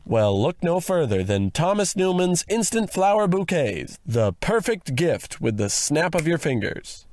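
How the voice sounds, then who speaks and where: Man as old-time radio ad pitchman, comedy; lively swooping patter, very dry studio sound. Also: measured pace, no echo. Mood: salesy. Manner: salesman's patter. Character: salesy radio announcer pitching to married men.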